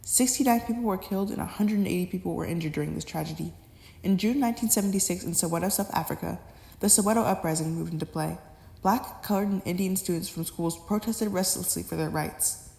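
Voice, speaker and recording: A woman's voice: on the low side, fast talker, creaky, warm tone. A young adult woman reading a scripted educational narration as the narrator, recorded in an echoey room.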